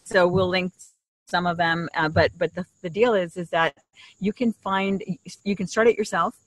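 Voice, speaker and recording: A woman's voice: fairly low pitch, fairly even intonation, moderate pace, rough recording. A middle-aged woman co-hosting a podcast, explaining things in a casual, conversational style into a shared desktop microphone. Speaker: podcast co-host. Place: outdoors in a leafy garden. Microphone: desktop condenser mic shared between two.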